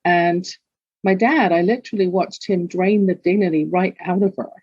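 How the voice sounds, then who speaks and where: A woman's voice: on the low side, fairly even intonation, moderate pace, a little mellow, breathy edge, no echo, lo-fi sound. Older London woman, candid and earnest. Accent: London English. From England. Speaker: guest. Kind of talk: candid personal storytelling.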